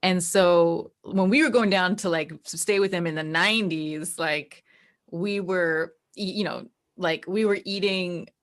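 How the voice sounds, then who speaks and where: An American woman with a slightly low voice, speaking as an interview guest. She tells stories casually, sprinkling in "like" and repeating words for emphasis. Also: measured pace. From New Jersey, United States. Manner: informal, peppered with "like" and emphatic repeats. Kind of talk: casual chat, personal storytelling.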